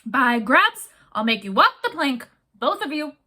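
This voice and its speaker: A woman voicing a gruff, blustering old male ship captain who roars and bellows his lines. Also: high voice, lively intonation, unhurried, sharp tone, a little hiss or hum, exaggerated voice. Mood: furious. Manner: roaring, bellowing.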